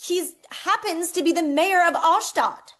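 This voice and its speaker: An actress playing a haughty, petulant young mayor's daughter in a romcom table read. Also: female voice, very high-pitched, unhurried. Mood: indignant. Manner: haughty, dramatic. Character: spoiled, petulant young mayor's daughter, vindictive daddy's girl.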